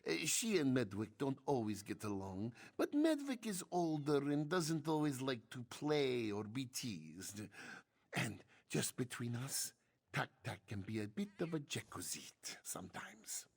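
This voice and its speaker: Fantasy game roleplay: female performer as male cat-folk father, tender worrier, breathy rasp, very animated intonation. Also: very deep voice, unhurried, pitched below the performer's own voice, full character voice. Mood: fond, confiding. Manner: speaks of self in third person, crooning.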